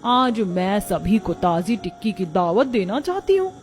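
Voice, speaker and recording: A woman's voice: mid-range voice, sing-song, measured pace, faint music behind. A woman voicing a shrewd, supportive female neighbour in a cartoon, assertive and righteous. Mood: sly.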